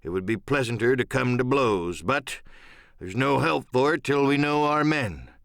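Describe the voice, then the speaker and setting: A man's voice: medium pitch, lively intonation, normal speed, gravelly, a bit bright, no room sound, clean studio sound. A man playing a stern, plain-spoken middle-aged ship's captain in an audiobook drama, firm and clipped in manner. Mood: grave, resolute. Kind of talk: audiobook radio-play character acting.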